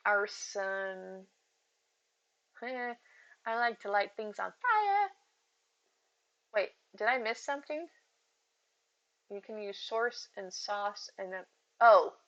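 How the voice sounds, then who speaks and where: Woman streamer, casual, soothing and bright voice, rambling and giggly chat. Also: medium pitch, sing-song, slow, long pauses, muffled recording. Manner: chatty, rambling, giggly, addresses chat by name.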